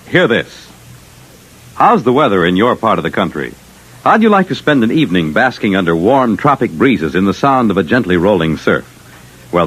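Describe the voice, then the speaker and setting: A man's voice: mid-range voice, a bit bright, breathy edge, poor audio. An American man announcing in a dramatic 1950s radio style, reading scripted program copy.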